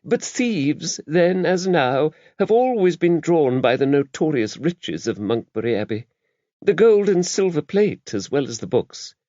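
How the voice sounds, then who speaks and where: A man's voice: fairly high pitch, very animated, unhurried, mellow, no echo, dull audio, pitched above the performer's own voice. A man voicing a demure, earnest librarian nun with prim, scholarly speech in an audiobook. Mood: prim, guarded. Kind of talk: audiobook character dialogue.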